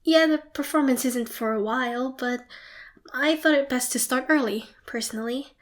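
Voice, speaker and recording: Woman acting a shy, high-voiced theatre student girl. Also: moderate pace, close to an everyday voice. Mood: nervous, awkward. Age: young adult. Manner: hesitant, flustered, apologetic. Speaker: amateur voice actor. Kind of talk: ASMR roleplay acting.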